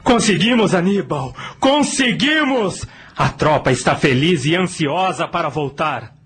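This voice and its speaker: A man playing a loyal, excitable officer of an ancient army in a dramatic, earnest radio drama style. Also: on the high side, unhurried, a little hiss or hum. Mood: jubilant.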